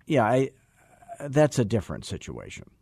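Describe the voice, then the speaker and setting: A man's voice: medium pitch, wildly swooping pitch, moderate pace, vocal fry, darkish tone, husky, no room sound. A man hosting a sales coaching call-in show, blunt and wryly teasing his caller.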